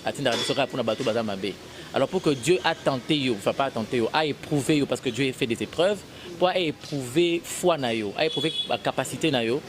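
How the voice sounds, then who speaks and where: A man's voice: medium pitch, fast, noisy background. A Congolese man with a Congolese French accent, answering interview questions casually and switching between French and Lingala. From Congo (DRC), Kasai. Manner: mixes French and Lingala, rambling with restarts. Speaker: interviewed actor.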